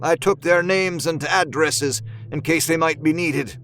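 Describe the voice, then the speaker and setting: A man's voice: fairly high pitch, a little slow, fairly bright tone. An actor playing a deferential, businesslike Victorian man narrating his letter in an audio drama, formal and dutiful. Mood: dutiful, earnest.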